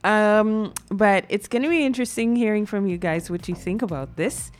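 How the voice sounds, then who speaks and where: A woman's voice: medium pitch, moderate pace. A woman with a Guyanese accent, co-hosting a live morning talk show, laughing easily and greeting viewers. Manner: bubbly, laughs often, reads viewer comments. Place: TV studio.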